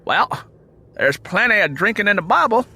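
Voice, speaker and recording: Man acting earnest Southern young suitor, high-pitched. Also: creaky, husky, faint music behind, full character voice. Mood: friendly. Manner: slow, steady, respectful, folksy dialect. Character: earnest young rural churchman suitor, twenty-seven, polite but determined.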